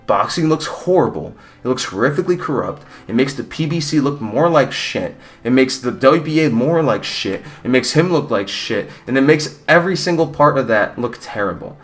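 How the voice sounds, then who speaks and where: A man's voice: medium pitch, animated, moderate pace, hardly any pauses, faint music behind. A young man from Mexico giving a blunt, rambling, opinionated fight recap with casual swearing. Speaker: combat sports YouTuber.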